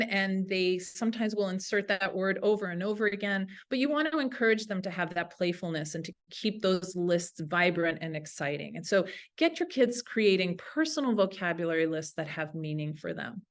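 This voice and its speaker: A woman's voice: mid-range voice, moderate pace, few pauses. A woman teacher giving an instructional webinar talk to colleagues in an enthusiastic, informal way. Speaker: language teacher presenting a webinar.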